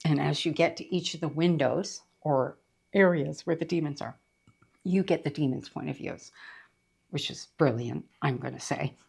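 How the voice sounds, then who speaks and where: A middle-aged woman chatting casually about books she read, her voice rough after being sick, rambling with lots of fillers. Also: low voice, normal speed, darkish tone. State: voice rough from recent illness. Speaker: book reviewer. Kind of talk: casual book review chat.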